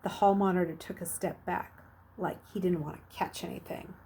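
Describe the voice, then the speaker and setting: A woman reading a children's novel aloud like a teacher, with expressive, dramatic emphasis. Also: lowish voice, normal speed, slight background noise. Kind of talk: expressive storybook narration.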